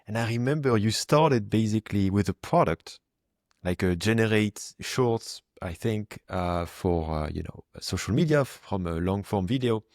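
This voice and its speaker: A man's voice: fairly low pitch, lively intonation, moderate pace, darkish tone, no echo, studio quality. A man hosting a podcast, chatting casually and rambling with fillers. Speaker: podcast host. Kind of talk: casual interview chat.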